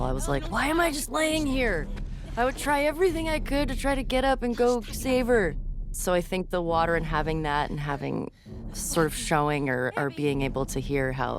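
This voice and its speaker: A woman's voice: low voice, background noise. A woman, a game voice actress, chatting casually about her scenes. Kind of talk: casual behind-the-scenes chat.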